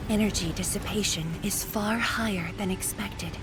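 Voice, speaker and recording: A woman playing a composed, grave commander in a video game, with a formal, measured delivery. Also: mid-range voice, background noise, close to an everyday voice. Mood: grave, resolute.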